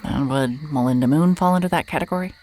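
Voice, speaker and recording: A woman's voice: sits low, fast, darkish tone, faint music behind, close to an everyday voice. An actress playing a hard-hearted, sardonic woman private detective in an audio drama, dry and pressing. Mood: probing, skeptical. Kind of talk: cinematic audio drama acting with first-person noir narration.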